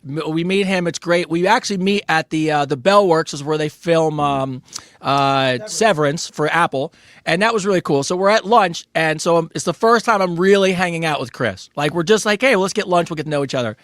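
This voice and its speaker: A man's voice: a little high, brisk, fairly bright tone, dry recording. A man co-hosting a podcast in casual chat, enthusiastically telling stories and praising his partner. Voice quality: enthusiastic storyteller, hypes his co-host. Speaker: podcast co-host.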